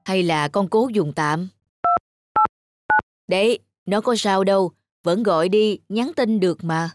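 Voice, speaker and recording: Woman as a gentle, hardworking village mother. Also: mid-range voice, unhurried, music in the background, close to an everyday voice. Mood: coaxing. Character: hardworking, self-sacrificing middle-aged village mother, gentle and patient. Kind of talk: cartoon voice acting.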